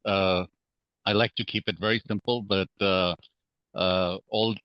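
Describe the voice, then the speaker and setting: Podcast guest, man from Hong Kong, low voice, slightly dull sound. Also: steady tone, dry recording. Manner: measured, many fillers and restarts. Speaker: guest real estate investor. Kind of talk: podcast interview.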